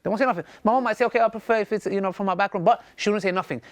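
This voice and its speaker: A man races through his points at a breakneck pace, emphatic and repeating himself. His voice is high for a man and sounds close and dry. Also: rapid-fire, no echo. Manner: emphatic, repetitive, fervent. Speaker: panel guest. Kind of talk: studio discussion.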